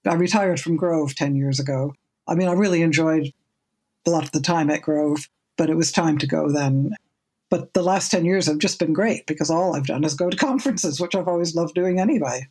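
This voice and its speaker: A woman in her seventies with a low speaking voice, speaking as a guest on a podcast. She talks in a measured, thoughtful way and often repeats a word as she restarts a sentence. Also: darkish tone, husky. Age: 70s. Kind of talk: casual podcast interview.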